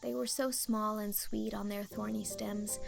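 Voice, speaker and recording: A woman's voice: mid-range voice, little pitch movement, measured pace, gravelly, music in the background. A woman voicing a tender, grieving teenage girl in a storybook reading, earnest and wistful. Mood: dreamy. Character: grieving, tender, dreamy orphan girl in her teens.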